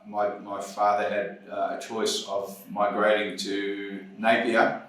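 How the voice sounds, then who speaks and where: A man from New Zealand with a New Zealand accent, telling his story as an interview guest, hesitant with many pauses and restarts. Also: lowish voice, monotone, fairly bright tone, very echoey, far from the mic, low-quality recording.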